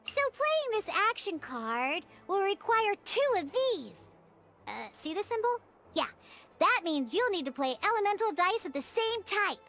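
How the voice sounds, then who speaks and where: Squeaky, very high-pitched game mascot. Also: female voice, measured pace, faint music behind, exaggerated voice. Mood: explaining, encouraging. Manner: eager, chirpy, expressive exclamations. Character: peppy young cartoon game mascot guiding a card tutorial. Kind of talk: video game tutorial dialogue.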